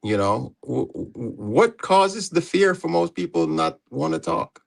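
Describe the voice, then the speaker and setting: A man hosting a casual livestream chat, easygoing and quick to laugh as he puts questions to his guests. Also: on the high side, very animated, vocal fry. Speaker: livestream host.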